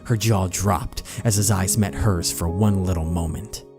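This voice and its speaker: Low-voiced man narrating over music. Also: fairly even intonation, unhurried. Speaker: channel host reading fan fiction aloud. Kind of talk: dramatic story narration. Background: background music.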